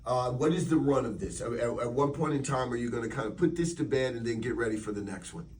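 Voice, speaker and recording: A man's voice: medium pitch, quick pace, darkish tone, a little hiss or hum. American man interviewing, slightly off-mic. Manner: encouraging, rambling questions. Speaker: radio interviewer. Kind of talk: casual interview chat. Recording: slightly distant microphone.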